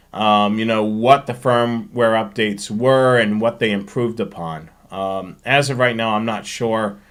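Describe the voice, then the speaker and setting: A man hosting a live show, chatting informally and explaining things as he answers questions, thinking aloud as he goes. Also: on the low side. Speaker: host of a railfanning live show. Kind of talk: casual live-stream question and answer chat.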